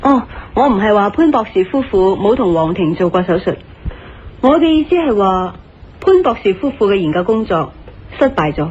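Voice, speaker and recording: A woman playing a tactful, astute wife who reasons calmly in a Cantonese radio drama. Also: mid-range voice, normal speed, muffled recording, a little hiss or hum, natural voice. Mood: reasoned.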